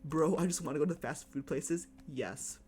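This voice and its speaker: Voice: medium pitch, moderate pace, warm tone, soft background music. A streamer with a Chicago accent, chatting casually and rambling while playing a game at home. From United States. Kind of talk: casual live-stream chat while gaming.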